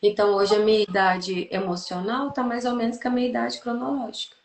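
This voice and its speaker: A woman's voice: medium pitch, level tone, fairly quick. A Brazilian woman in her thirties explaining things didactically in a podcast conversation, listing and repeating points for emphasis. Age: 30s. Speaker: therapist, podcast host. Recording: echoey, roomy sound.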